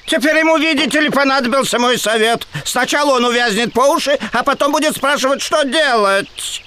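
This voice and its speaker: A grumpy know-it-all sidekick from an audio fairy tale grumbles sarcastically. The voice is very high and bright. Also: male voice, brisk, clear, resonant tone. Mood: sarcastic.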